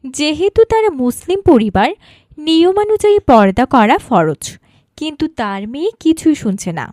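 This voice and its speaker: A woman's voice: sits high, big pitch swings, normal speed, a little mellow, no echo, clean studio sound. A woman reading scripted narration for a cartoon story.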